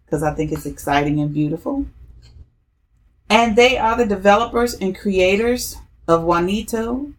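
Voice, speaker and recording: Slow-talking chatty woman podcast host. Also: on the low side. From DMV area, US. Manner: chatty and upbeat, with frequent fillers. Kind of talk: casual interview podcast.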